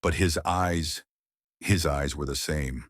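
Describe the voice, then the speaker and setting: A man reading a noir thriller audiobook in a measured, brooding style. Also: very deep voice, no room sound, studio quality. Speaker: audiobook narrator. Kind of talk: scripted audiobook narration.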